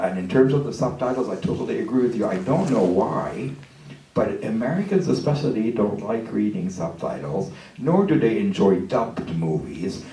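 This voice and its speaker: A man from Lebanon moderating an audience Q&A with a handheld mic in a hall, soft-spoken and reflective. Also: lowish voice, distant mic. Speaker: interviewer and moderator. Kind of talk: hosting an audience Q&A. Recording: roomy hall taped from afar.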